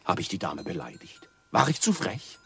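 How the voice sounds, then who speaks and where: An actor playing an ingratiating, cheeky hunchbacked peddler and charlatan in a radio play, with a creaky voice and cackling manner. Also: male voice, sits low, wildly swooping pitch, normal speed, a bit bright, faint music behind, full character voice. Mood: sly, cheeky. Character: wheedling hunchbacked travelling male peddler and charlatan.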